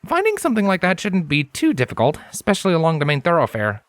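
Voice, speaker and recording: Man voicing a lighter-pitched, earnest scholar stallion. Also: wildly swooping pitch, fast, no room sound. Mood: calm. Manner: polite, matter-of-fact. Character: earnest, calm, scholarly male pony. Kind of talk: audiobook character voice.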